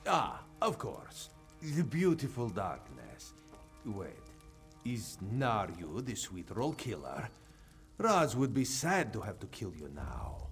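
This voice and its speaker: A man's voice: lowish voice, huge pitch swings, takes it slowly, faint music behind, heavily acted. An actor playing a sly male cat-folk spy in a video game, who speaks of himself in the third person with a playful yet menacing manner. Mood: wry.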